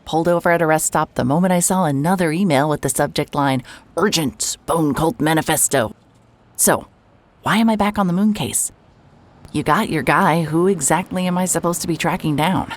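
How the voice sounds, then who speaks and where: A woman's voice: sits low, moderate pace, lightly acted. An actress playing a hard-boiled female private investigator who talks in a dry, sarcastic way. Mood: wry. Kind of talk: audio drama acting.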